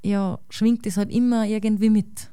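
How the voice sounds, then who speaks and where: Austrian woman, salzburg accent, warm smooth voice, chatty guest thinking out loud, dry close sound. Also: mid-range voice, fairly even intonation, moderate pace, no echo. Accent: Salzburg Austrian German. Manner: chatty, thinks aloud with fillers and tag questions. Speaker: guest musician. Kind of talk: casual conversation.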